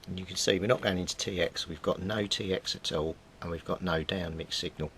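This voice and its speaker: A middle-aged man with a deep, low voice talks through hands-on repair work at a home bench. He thinks aloud in an informal way, with a faint rumbling noise behind him. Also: steady tone, fairly quick, a bit bright. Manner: thinks aloud while working. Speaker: hobbyist radio repairer and video maker. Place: indoor cluttered home workbench.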